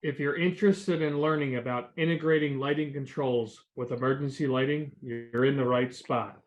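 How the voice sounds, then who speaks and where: An upbeat man opening a webinar as a regional sales manager, friendly and a little scripted with occasional fillers. His pitch sits right in the middle for a man. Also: fairly even intonation, normal speed, poor audio. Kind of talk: scripted intro.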